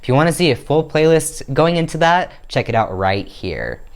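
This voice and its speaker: A man's voice: fairly high pitch, normal speed, creaky. A young man in his twenties talking candidly to camera in a casual vlog, recorded on a lapel mic in a small bedroom. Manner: upbeat and candid, animated hand gestures. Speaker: vlogger. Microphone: clip-on lapel mic.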